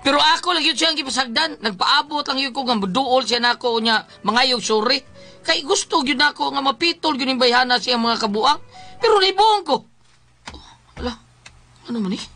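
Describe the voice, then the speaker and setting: A man's voice: very high-pitched, animated, moderate pace, sharp tone, soft background music, full character voice. A man playing a long-suffering, suspicious husband who complains and nags in a Filipino comedy radio drama. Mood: puzzled. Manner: complaining, nagging.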